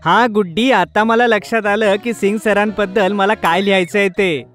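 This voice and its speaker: A woman's voice: mid-range voice, steady tone, normal speed, close to an everyday voice. A woman voicing a young schoolboy, eager, confiding and a bit timid, in a children's cartoon story. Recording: music behind the voice.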